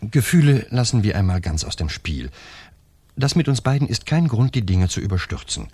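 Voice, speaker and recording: Husky, hesitant lover with swooping pitch. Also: male voice, big pitch swings, moderate pace, darkish tone, no room sound. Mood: pleading caution. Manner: hesitant, cautious, reasoning. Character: hesitant, nervous male lover and reluctant accomplice. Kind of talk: 1970s German crime radio play acting.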